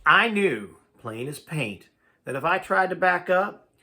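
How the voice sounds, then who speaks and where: A man's voice: high-pitched, expressive, a little slow, bright, forceful tone. A man reading a first-person tale aloud in a dramatic, folksy storytelling manner. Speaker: amateur audiobook reader.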